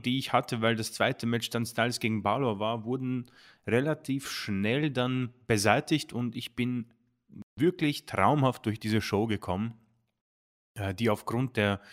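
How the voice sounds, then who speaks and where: A man, co-hosting a podcast in casual chat, halting and full of ums as he thinks aloud. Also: mid-range voice. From Austria. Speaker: podcast co-host.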